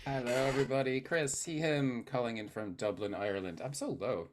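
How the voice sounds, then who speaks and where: A man's voice: mid-range voice, fairly quick. A man in casual chat on a video call, joking and self-deprecating. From United States. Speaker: improv cast member. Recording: noisy video call with clicks.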